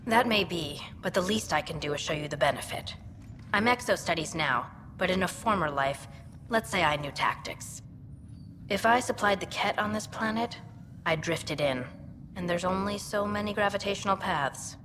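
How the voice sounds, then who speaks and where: A woman acting as a composed, grateful scientist in a sci-fi game. Her slightly low voice is measured and formal. It rings with long reverb over clear background noise. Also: close to an everyday voice. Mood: earnest, composed.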